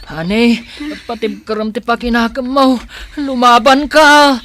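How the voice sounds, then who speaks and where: An actor playing an earnest, caring grown son who drives a taxi, in a radio drama. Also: male voice, very high voice, steady tone, measured pace, crowd noise. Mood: urgent, pleading. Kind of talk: radio drama acting in Ilocano.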